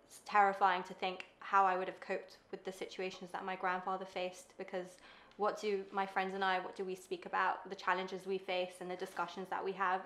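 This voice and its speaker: A woman's voice: medium pitch, a bit bright. A woman in her twenties interviewing her grandfather, speaking earnestly with frequent ums, in a living room. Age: 20s. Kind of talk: family interview. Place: bright living room, seated on sofa. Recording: roomy echo.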